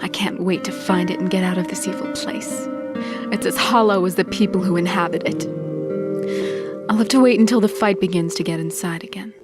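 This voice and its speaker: An actress playing a resolute, bitter young seafaring woman bent on revenge, narrating a game briefing in a brooding, deliberate way. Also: lowish voice, steady tone, natural voice. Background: music.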